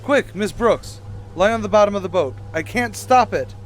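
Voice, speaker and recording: A man's voice: high voice, lively intonation, moderate pace, heavy background noise. An actor playing an earnest, naive and bashful bachelor man in old radio sitcom style, a little hesitant. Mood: urgent. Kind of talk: 1940s-50s radio sitcom acting.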